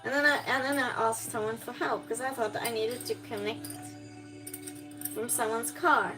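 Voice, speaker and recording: A woman with a fairly high voice talks casually as a live streamer, with music playing behind her. English is not her first language. She rambles in an informal way, chatting to viewers while busy at home. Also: steady tone, normal speed. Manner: rambling, reads viewer comments aloud and replies. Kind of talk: casual livestream chat while cooking. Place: indoors at home while cooking.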